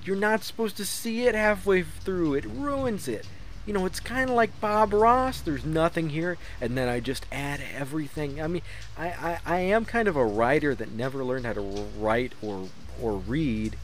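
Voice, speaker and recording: An actor playing a conceited, defensive hack author in a comedic audio drama, pompous and blustering. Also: male voice, on the high side, expressive, moderate pace, lightly acted. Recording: noisy amateur mix, faint hiss.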